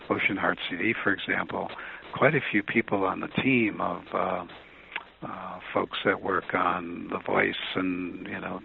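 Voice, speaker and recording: A man with a very low, gravelly voice talks calmly and in a measured way as a guest on a radio interview. He pauses with fillers and restarts his sentences. His voice comes through a tinny phone line with faint hiss behind it. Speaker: author and guest.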